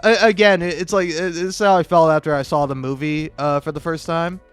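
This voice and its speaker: A man's voice: fairly high pitch, fairly even intonation, a little fast, clear, resonant tone. An American man from Florida rambling casually as a gaming creator, hesitant and self-deprecating, often stammering and repeating words. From Florida, United States. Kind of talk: casual rambling chat over gameplay. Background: music.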